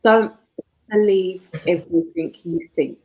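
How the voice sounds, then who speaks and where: A woman, a guest author talking casually in an interview, chatty and quick to laugh. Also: on the low side, phone-quality sound, slight background noise. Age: 40s.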